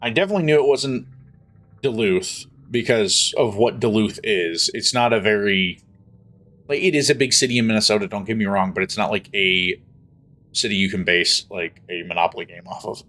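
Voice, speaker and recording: A man's voice: lowish voice, moderate pace, pushed and bright. A young man streaming, chatting casually and thinking aloud as he writes a story. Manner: thinking aloud, trailing off, muttering while typing. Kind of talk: casual livestream chat while writing a story. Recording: faint music in the background.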